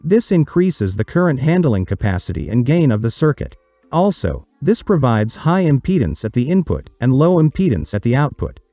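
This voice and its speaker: A man reading a scripted explanatory narration for a tutorial, in a measured, didactic, step-by-step manner. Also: medium pitch, big pitch swings, no echo, highs cut off, soft background music.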